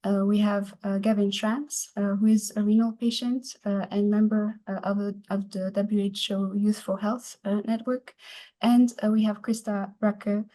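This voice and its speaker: A woman moderating an online panel, speaking haltingly with a constant "uh" between phrases. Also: mid-range voice, level tone, dark tone. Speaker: webinar moderator.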